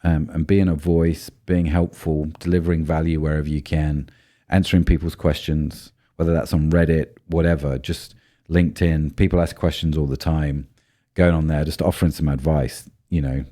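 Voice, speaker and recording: Man, very deep baritone, dark mellow tone, roughened by a cold, casual podcast co-host, rambly with ums. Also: flat delivery, moderate pace. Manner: chatty, thinks aloud with many ums and restarts. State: voice low and rough from a cold spell.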